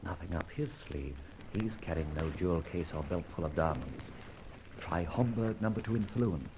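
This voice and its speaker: An actor playing a breezy, wry gentleman detective in an old-time radio drama, with a British accent and old-fashioned upper-class slang. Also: low voice, animated, normal speed, gravelly. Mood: musing. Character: jaunty gentleman adventurer detective, wry and brisk. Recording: muffled old radio recording with noise.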